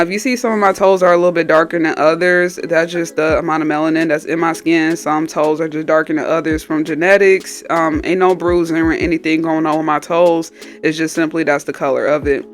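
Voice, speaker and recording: A woman nail technician with a stuffy, slightly nasal voice from a cold, casually explaining each step in a tutorial voice-over. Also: deep voice. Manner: chatty, explains each step as she goes. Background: faint background music.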